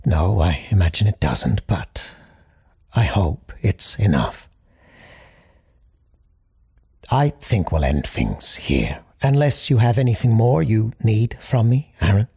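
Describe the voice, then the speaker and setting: A man playing a solemn parapsychologist who hosts a late-night call-in show in an audio drama, speaking formally and deliberately in a husky, gravelly voice. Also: lowish voice, sing-song, dark tone, exaggerated voice. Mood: calm, formal. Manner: formal, deliberate, halting pauses. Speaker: radio call-in host. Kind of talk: scripted audio drama, deliberate radio monologue.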